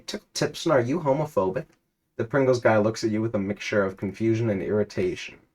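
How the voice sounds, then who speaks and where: A man reads a text adventure aloud in a mock-dramatic, deadpan way. His voice sits fairly low and his delivery stays dry. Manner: deadpan narration, prone to laughing fits.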